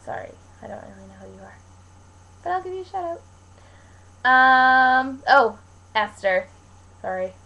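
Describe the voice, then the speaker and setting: A woman's voice: high-pitched, big pitch swings, a little slow, creaky, long pauses, muffled recording. A young woman from Canada talking casually to viewers in a rambling, informal way, like a vlogger answering questions. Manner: rambling, informal, colloquial with frequent "like". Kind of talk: casual chat to camera, Q&A vlog.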